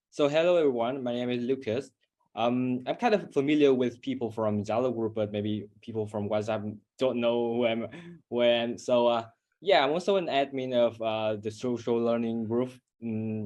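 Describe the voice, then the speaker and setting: A man introducing himself as a community group admin, relaxed and hesitant, with many fillers. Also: medium pitch, normal speed, highs cut off. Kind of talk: casual introduction.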